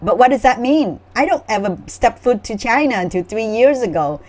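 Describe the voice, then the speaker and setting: A woman's voice: on the high side, animated, normal speed, darkish tone. A middle-aged woman from Vietnam, telling her personal story in an earnest, reflective way as a guest author. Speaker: memoir author, panel guest.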